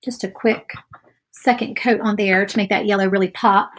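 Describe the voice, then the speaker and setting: A woman's voice: medium pitch, fairly even intonation, normal speed, noisy background. A woman in her thirties from Virginia with a light Southern accent, chatting casually while painting a craft project and answering viewers. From Virginia, USA. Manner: chatty, friendly, thinks aloud while working. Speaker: craft vlogger. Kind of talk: casual chat while painting, reading live comments. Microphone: phone or camera close by.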